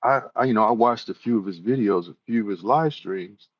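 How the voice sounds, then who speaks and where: A man's voice: fairly low pitch, a little fast, dry recording. A man talking casually to his viewers, rambling and repeating himself with plenty of "you know"s. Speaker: YouTuber. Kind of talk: casual talk to camera. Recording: dull lo-fi sound.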